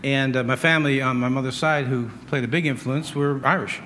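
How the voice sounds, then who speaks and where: A man's voice: mid-range voice, steady tone, fairly quick, fairly bright tone, slight background noise. An American man preaching a conversational sermon, emphatic, with repetition and rhetorical questions. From United States. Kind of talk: sermon, partly conversational with scripture readings.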